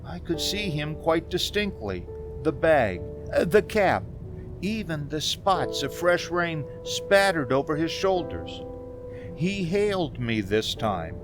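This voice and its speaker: A man acts out a haunted motorist in an old-time radio play. His voice is slightly high and delivered very slowly, with dramatic swings and nervous hesitations. Music plays clearly behind him. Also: sing-song. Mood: haunted, tense. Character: uneasy, haunted male motorist recounting a strange journey. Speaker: narrator and lead actor.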